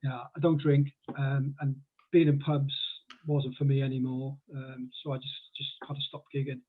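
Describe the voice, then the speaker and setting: Husky tinny-sounding Welsh-English man. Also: medium pitch, level tone, moderate pace. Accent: mixed Welsh and southeast English. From Wales. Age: 40s. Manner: chatty, rambling with many fillers and restarts. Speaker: guest. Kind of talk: casual podcast conversation. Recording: tinny phone-like call audio.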